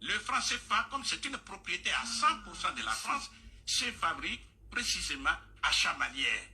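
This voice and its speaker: Male radio guest, bright and higher voice, lectures insistently. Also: big pitch swings, moderate pace. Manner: insistent, lecturing, repeats points. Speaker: guest speaker on a radio discussion. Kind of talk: explanatory radio discussion.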